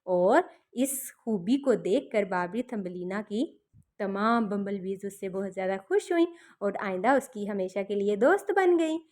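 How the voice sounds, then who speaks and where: A woman's voice: medium pitch, unhurried, darkish tone. A woman narrating a fairy tale for children, explaining things simply and repeating them as to small children. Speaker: children's storyteller.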